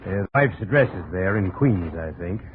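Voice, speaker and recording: An actor playing a brisk, suspicious insurance chief in a 1950s radio drama, authoritative and businesslike. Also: male voice, sits low, expressive. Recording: tinny, noisy vintage radio recording.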